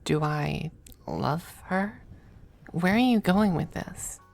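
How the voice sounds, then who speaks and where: A woman playing a possessive, doting, androgynous male demon lover in an intimate ASMR roleplay, speaking softly and often slipping into whispers. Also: fairly low pitch, lively intonation, full character voice. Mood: possessive, firm. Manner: soft, breathy, unhurried, often dropping to whispers. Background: faint music.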